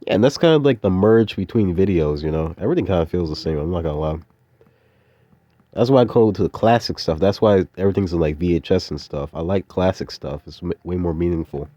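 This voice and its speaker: A man's voice: low voice, brisk, dark tone, dry recording. A young adult man rambling casually in a laid-back, slangy, meandering way, like an informal audio diary. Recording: dull, muffled lo-fi audio.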